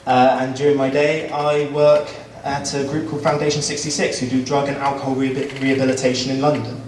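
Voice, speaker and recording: A man giving a measured, earnest campaign speech at a local debate. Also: medium pitch, level tone, darkish tone. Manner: earnest, measured, some fillers. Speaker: election candidate. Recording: roomy hall, distant mic, faint noise.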